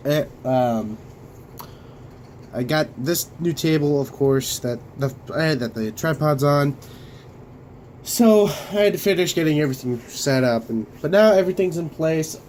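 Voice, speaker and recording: Halting young man with a cold. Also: a little high, darkish tone, a little hiss or hum. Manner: halting, many fillers and restarts. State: coming down with a cold. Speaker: Lego channel host. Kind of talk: casual room tour vlog. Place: bedroom studio.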